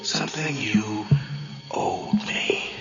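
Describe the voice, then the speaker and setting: An actor playing a vengeful dead twin in a horror radio drama, hissing in a menacing, breathy whispered rasp. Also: male voice, lowish voice. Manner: slow, menacing, drawn-out delivery. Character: vengeful dead conjoined twin brother, hissing ghost in a nightmare. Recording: muffled audio under loud music.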